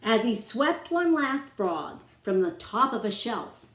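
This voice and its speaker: A woman reading a rhyming children's story aloud as its author, with expressive, dramatic phrasing aimed at young listeners. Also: fairly high pitch, slow pace. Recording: roomy, echoing room sound.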